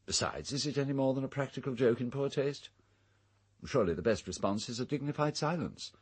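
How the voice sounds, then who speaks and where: An English actor in a radio drama playing an urbane, fastidious gentleman host, wry and formal in manner. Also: mid-range voice, expressive, husky. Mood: dry, measured. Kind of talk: British radio drama acting.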